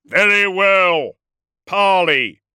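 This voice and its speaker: A man voicing a proud, wrathful ancient warrior entombed in a war machine, booming and roaring slowly in an audiobook. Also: expressive, pushed and bright, dry recording, clean studio sound, full character voice. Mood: proud indignation. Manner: slow, declamatory, indignant. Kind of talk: audiobook character acting.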